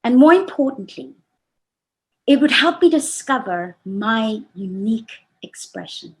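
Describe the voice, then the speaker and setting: A woman in her forties giving a prepared personal talk, measured and reflective. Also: fairly high pitch, lively intonation. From South Africa. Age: 40s. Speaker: speaker. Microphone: video call.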